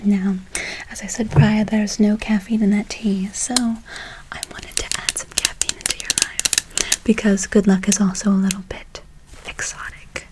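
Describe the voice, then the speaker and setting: A woman's voice: mid-range voice, little pitch movement, normal speed, low-quality recording. A woman whispering an ASMR roleplay as a soothing witch, lingering on repeated words and endearments. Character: soothing witch casting a good luck spell. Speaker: ASMR performer.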